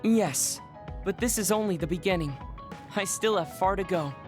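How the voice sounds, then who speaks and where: A woman's voice: medium pitch, lightly acted. Woman voicing a formal, boyish old soul over music. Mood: resolved. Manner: formal, measured, earnest diction. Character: small, child-looking psionic man decades old, serious and formal. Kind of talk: dubbed video game cutscene acting. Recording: music behind the voice.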